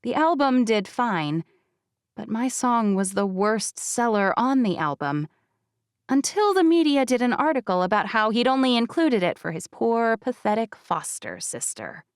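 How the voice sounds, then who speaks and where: A woman narrating a first-person novel as an audiobook, with expressive, wry delivery. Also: on the high side, a little slow, clean tone, no echo, clean studio sound.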